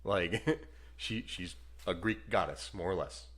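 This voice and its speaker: Deep-voiced, laid-back man. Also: slow pace, a bit bright. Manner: laid-back, chuckling, rambling asides. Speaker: podcast co-host. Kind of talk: casual movie review chat.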